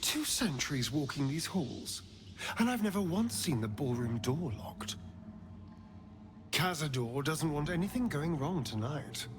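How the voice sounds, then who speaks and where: An actor playing a bitter, sardonic vampire spawn in a video game, with a theatrical, biting delivery. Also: male voice, on the high side, expressive, unhurried, noisy background. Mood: wry, wary. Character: sardonic, bitter male vampire spawn, two centuries old. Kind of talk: video game character acting. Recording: game music under the voice.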